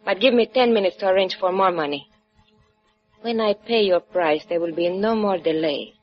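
A woman acting a scornful, mysterious Cuban lady in a 1950s radio play. She speaks with a Spanish accent in a formal, haughty, measured way, on a dull, lo-fi recording. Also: medium pitch, faint music behind, close to an everyday voice.

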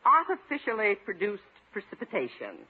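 A high-minded society woman in a 1950s radio comedy. She is brisk, formal and precise, with polite condescension and a lively, swooping delivery. The recording is thin and somewhat husky. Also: narrow, tinny audio. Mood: pleased, gracious.

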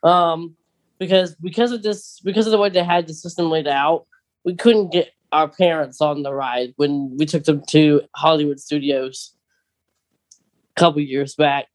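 Podcast co-host, medium pitch, casual chat, conversational, questioning. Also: steady tone, moderate pace, low-quality recording. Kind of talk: casual chat about fandom news.

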